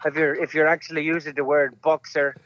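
A man's voice: on the high side, little pitch movement, fairly bright tone, highs cut off, slight background noise, natural voice. A man playing a bitter, hard-drinking Irish priest, with an Irish accent, who stammers and answers bluntly. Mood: musing. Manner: halting, stammering, blunt and gruff. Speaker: guest on a call-in show. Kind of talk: comedy character bit.

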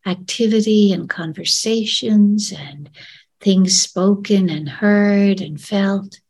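A woman leading a gentle guided meditation in a soft, soothing, breathy voice that often trails into a whisper. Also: medium pitch, slow. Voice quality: breathy, husky.

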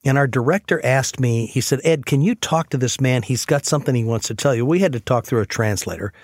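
A man's voice: mid-range voice, a little fast, dry recording. A man telling stories conversationally and earnestly as host of a faith radio program. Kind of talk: conversational storytelling on a faith talk show.

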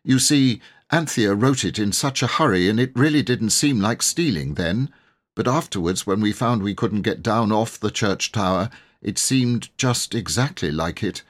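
A man's voice: fairly low pitch, lively intonation, moderate pace. A man voicing an earnest, anxious but brave young boy making a pleading confession in a storybook reading. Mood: apologetic.

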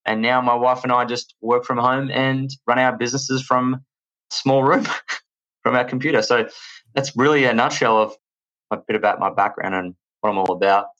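A man in his thirties with an Australian accent, a podcast guest telling stories in an energetic, rambling way. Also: medium pitch, moderate pace, highs cut off. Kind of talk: casual chat.

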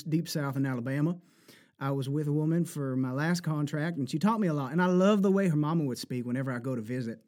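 A man with a New Jersey accent, talking as an animated voice actor guest who laughs often and slips into accent demos. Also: a little high. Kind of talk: casual interview chat.

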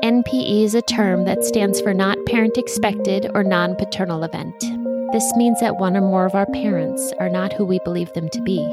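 A woman hosting a podcast, speaking personally to listeners and putting questions to a guest. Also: mid-range voice. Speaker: podcast host. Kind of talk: personal podcast introduction and interviewing.

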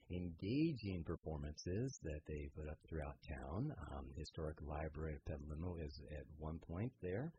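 A man with a low voice hosts a casual interview show. He is effusive and quick to agree with his guests. There is clear noise behind him on the recording. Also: warm tone, dull audio. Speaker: radio and podcast host.

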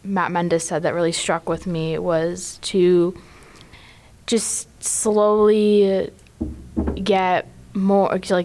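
Low-voiced teenage girl sharing thoughts. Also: darkish tone. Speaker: teen book club panelist. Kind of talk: reflective discussion.

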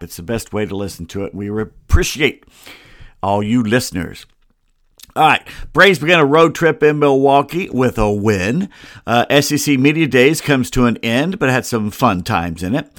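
A man's voice: medium pitch, expressive, normal speed, a bit bright, no room sound. Dry-sounding American man hosting sports talk. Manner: grumbling, wry, rambling asides. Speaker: sports podcast host. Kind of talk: casual solo sports commentary. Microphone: close computer microphone.

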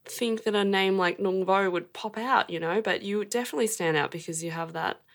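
Playful woman interviewer with vocal fry. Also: medium pitch, a little fast. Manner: playful and teasing. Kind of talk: casual conversational interview.

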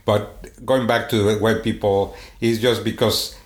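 A man with a Swedish accent speaks in a somewhat low voice, searching for words, with frequent fillers and restarts. The recording has a roomy echo around him. His delivery is unhurried and conversational. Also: steady tone. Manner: halting, many restarts and fillers. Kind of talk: casual conversation. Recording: echoey room.

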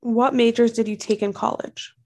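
A woman's voice: fairly high pitch, fairly even intonation, normal speed, a little mellow. A woman interviewing a guest with short, direct questions.

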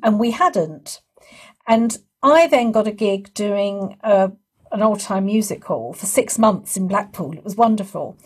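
A woman's voice: mid-range voice, lively intonation, unhurried, slightly rough. A woman hosting a casual interview, chatting and telling stories as a fellow stage performer. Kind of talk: casual chat and storytelling.

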